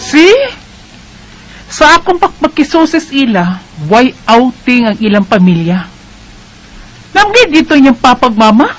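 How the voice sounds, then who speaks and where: An actress with a Filipino Visayan accent playing a nosy, skeptical young best friend in a radio drama, teasing and insinuating. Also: female voice, high voice, very animated, measured pace, pauses often, faint music behind, heavily acted, low-quality recording. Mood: goading. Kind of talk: Bisaya radio drama acting, mixing in English.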